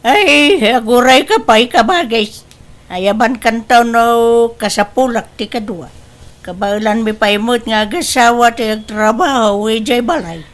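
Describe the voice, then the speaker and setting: Actress as slow, high-voiced stubborn Ilocano wife. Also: female voice, close to an everyday voice. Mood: dismissive. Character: proud, stubborn older wife boasting of her big new house. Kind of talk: Ilocano comedy radio-style drama acting.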